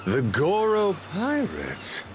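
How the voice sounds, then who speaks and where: High-pitched, swaggering pirate captain. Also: male voice, wildly swooping pitch, slow, slight background noise, exaggerated voice. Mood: amused. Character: brash, good-humored male pirate captain without memories. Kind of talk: video game voice acting.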